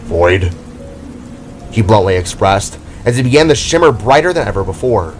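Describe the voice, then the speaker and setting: A man's voice: lowish voice, animated, brisk, slight background noise. A man reading scripted narration for an audio drama as its storyteller.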